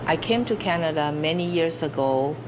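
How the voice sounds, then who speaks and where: An older woman from China, a business owner telling her story slowly and reflectively in an interview. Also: low-pitched, animated, background noise. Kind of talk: interview testimony.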